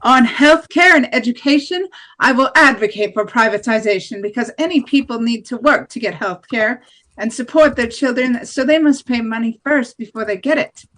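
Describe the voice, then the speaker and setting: Strident nationalist woman candidate, read by an actress, higher-pitched, declaiming campaign promises in a table read. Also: moderate pace, a bit bright, lightly acted, poor audio. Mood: strident, assertive. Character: hardline far-right female presidential candidate, strident nationalist. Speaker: actor in an amateur table read. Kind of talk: campaign speech read from script.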